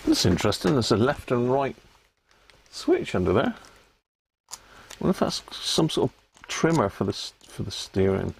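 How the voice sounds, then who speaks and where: A British man with a mid-pitched, gravelly voice talks casually to his livestream viewers. He thinks aloud in loose, colloquial phrases. There is faint noise with some clicks behind him. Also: wildly swooping pitch, moderate pace, pauses often. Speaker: livestream host. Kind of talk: casual rambling chat to live viewers.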